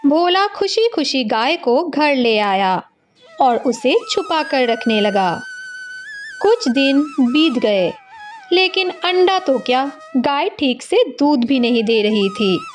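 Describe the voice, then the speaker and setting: Slow, high-pitched woman storyteller, lively swings. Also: faint music behind. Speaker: story narrator. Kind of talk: scripted storybook narration.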